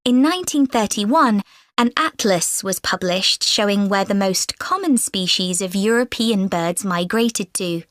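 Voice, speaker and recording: A woman gives a scripted academic lecture at a steady, measured pace. Her voice sits in a middle pitch. The studio recording is dry and close. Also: dry recording. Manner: measured, clear delivery.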